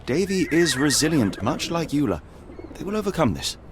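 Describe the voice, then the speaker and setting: A man playing a composed, sharp-minded detective in a video game, speaking with precise, measured, analytical authority. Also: a little high, sing-song, noisy background. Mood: composed, grave. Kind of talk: dramatic detective game dialogue and deduction narration.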